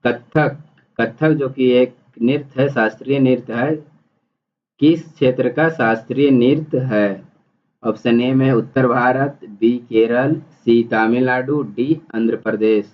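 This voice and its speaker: A man's voice: mid-range voice, unhurried. A man teaching exam prep, reading multiple-choice questions and explaining the answers in an instructional, repetitive way. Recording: dull, muffled lo-fi audio.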